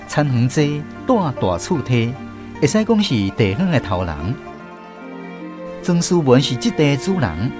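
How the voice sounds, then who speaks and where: A male storyteller with a Taiwanese accent narrates a radio drama in a folksy, drawn-out way. His pitch moves in lively swings, and music plays clearly behind him. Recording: music bed under the voice. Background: background music.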